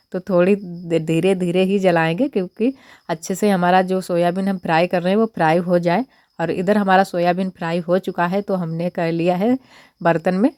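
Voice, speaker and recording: A young woman with a hoarse, husky voice from a cold, chatting casually as she walks through her cooking in a village home kitchen. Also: on the low side, darkish tone, no room sound, clean studio sound. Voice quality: husky, strained voice. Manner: chatty, homely step-by-step narration. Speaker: village vlogger. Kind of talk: casual cooking vlog narration.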